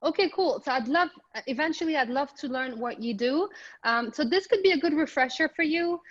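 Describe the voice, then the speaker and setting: A woman's voice: sits high, expressive, a little fast, a bit bright, no room sound. Upbeat woman from morocco presenting over a call, lots of ums, kinda muffled audio. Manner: upbeat, chatty, frequent ums and restarts. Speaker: business coach presenting. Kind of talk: live remote presentation. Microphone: remote call microphone.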